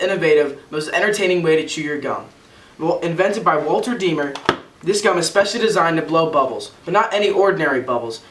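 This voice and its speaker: A young woman reading a scripted amateur radio advertisement as an announcer. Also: fairly low pitch. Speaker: commercial announcer in a student project. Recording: roomy, distant mic, faint background noise.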